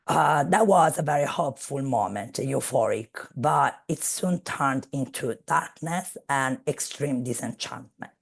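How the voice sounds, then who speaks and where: Woman speaker on a video call, slow and measured, gravelly and husky. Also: very deep voice, lively intonation. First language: Italian. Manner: measured, searching for words. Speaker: guest speaker. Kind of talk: academic webinar talk.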